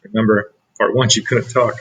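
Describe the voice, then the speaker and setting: A man reading a picture book aloud as a teacher, pausing for asides to young listeners. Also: fairly low pitch, fairly even intonation, fairly bright tone, breathy edge, poor audio. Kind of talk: read-aloud storytelling.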